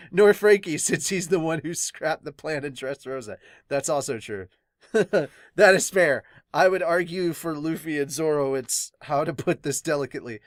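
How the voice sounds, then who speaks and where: A man chatting casually as a podcast host, reading comments aloud and reacting in an animated but halting way. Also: fairly high pitch, dry recording, studio quality.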